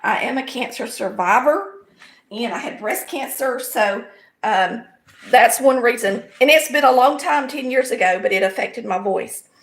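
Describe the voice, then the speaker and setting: A woman with a Southern US accent and a raspy, hoarse voice that sits a touch high. She talks folksily and explains every step as she cooks in her home kitchen, sounding middle-aged. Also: animated, a little slow, low-quality recording. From American South. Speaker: home cooking show host. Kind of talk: casual cooking demonstration.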